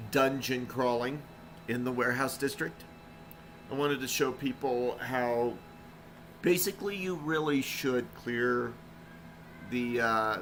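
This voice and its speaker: A man talks loosely over his game stream, drifting from thought to thought with plenty of fillers. His voice is bright and forward on a desk mic. A faint hum sits underneath. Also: medium pitch. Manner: rambling, relaxed, frequent "uh" fillers. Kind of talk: casual live-stream commentary. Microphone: desk microphone.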